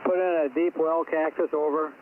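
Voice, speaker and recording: Guy rambling on ham radio, halting casual chat, thin phone-like sound w/ static. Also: on the high side, steady tone. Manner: rambling, halting with repeated words. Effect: two-way radio transmission. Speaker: amateur radio operator.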